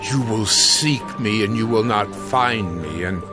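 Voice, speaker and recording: An actor playing a sharp, insistent man questioning a teacher in a dramatized audio Bible. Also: fairly low pitch, slow pace, music in the background. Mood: puzzled.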